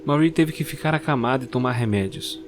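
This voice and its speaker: Man narrating a dark fairy tale. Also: mid-range voice, background noise. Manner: slow, dramatic storytelling. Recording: clear music bed under the voice.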